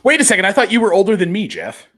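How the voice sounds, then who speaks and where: A man's voice: sits high, wildly swooping pitch, racing, fairly bright tone. A man in his late thirties, chatting on a podcast in a laid-back, enthusiastic way. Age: 30s. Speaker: podcast co-host. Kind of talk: casual podcast chat.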